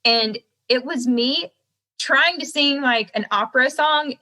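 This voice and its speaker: Bright-voiced young Southern American woman. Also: high-pitched, measured pace. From Southern United States. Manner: animated storyteller who laughs often. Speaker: guest singer-songwriter. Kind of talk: casual interview chat.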